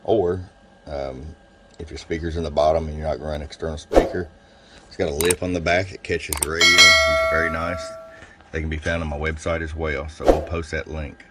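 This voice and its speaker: A man's voice: extremely low pitch, flat delivery, brisk. A man giving a casual, folksy technical product demonstration as a radio technician and seller. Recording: clear background noise, room ambience.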